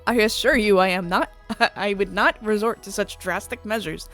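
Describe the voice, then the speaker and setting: A woman's voice: medium pitch, moderate pace, a bit bright, lightly acted. A woman voicing a courteous, earnest female AI pop idol in a visual novel, with prim, formal phrasing. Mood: anxious, formal. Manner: prim, courteous, stilted wording. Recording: music playing behind the voice.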